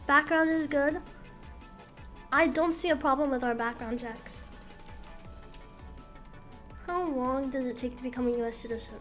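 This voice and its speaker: A young woman with a high voice chats casually on her stream, giving her opinions bluntly and candidly. She swears without a second thought, and faint music plays under her talk. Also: fairly bright tone. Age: young adult.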